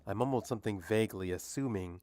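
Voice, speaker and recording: Male streamer, slightly low pitch, laid-back conversational style, dry close mic. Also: quick pace, a little mellow, no echo. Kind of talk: casual chat.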